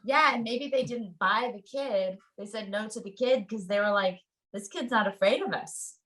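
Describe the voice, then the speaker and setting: A woman's voice: mid-range voice, normal speed, fairly bright tone, low-quality recording. A woman co-hosting a casual literary discussion in an informal, slangy way.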